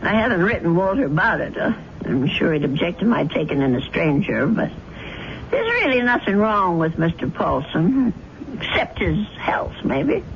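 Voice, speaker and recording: A woman's voice: lowish voice, sing-song, normal speed. An actress playing a kindly, fussy elderly landlady in a 1970s radio drama. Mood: chatty, gentle. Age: older. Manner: fussy, chatty, motherly. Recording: dull, noisy old radio broadcast.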